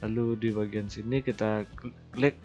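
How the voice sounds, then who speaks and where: A man hosting a casual software tutorial. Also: lowish voice, steady tone, moderate pace. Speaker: tutorial host. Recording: slightly dull, lo-fi voice recording. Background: background music.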